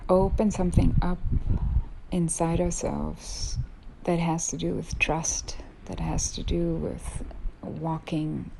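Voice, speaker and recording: Slow, creaky-voiced woman guiding meditation. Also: fairly low pitch, darkish tone, slight background noise. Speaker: yoga nidra teacher. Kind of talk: guided meditation.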